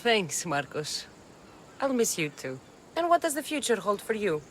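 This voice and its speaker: A woman plays a tough young mercenary heroine in a game cutscene. She is blunt and wry yet protective, her mid-pitched voice moving expressively over faint ambient noise. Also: very animated, moderate pace, close to an everyday voice. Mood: wry fondness. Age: young adult. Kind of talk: video game cutscene acting.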